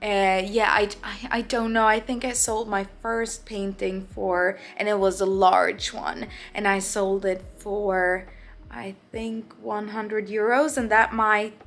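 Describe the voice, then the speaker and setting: A woman's voice: mid-range voice, little pitch movement, slow pace, a bit bright, soft background music. A young Swedish woman, an artist, talking to the camera in her home studio with a Swedish accent, hesitant and searching for her words in English, her second language. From Sweden. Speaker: artist vlogger. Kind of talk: casual talking-to-camera vlog. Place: home art studio, indoors.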